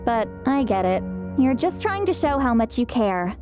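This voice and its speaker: A woman's voice: fairly high pitch, very animated, normal speed, over music. An actress playing a knowledgeable, wry but kind young woman helper in a video game. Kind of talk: video game character acting.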